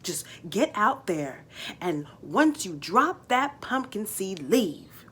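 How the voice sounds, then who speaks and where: Storybook role: woman as old country papa, folksy, boastful, slow-spoken with bright, forceful delivery. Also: mid-range voice, big pitch swings, breathy edge, heavily acted. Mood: commanding. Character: old farmer father, tall-tale bragging, folksy and soothing.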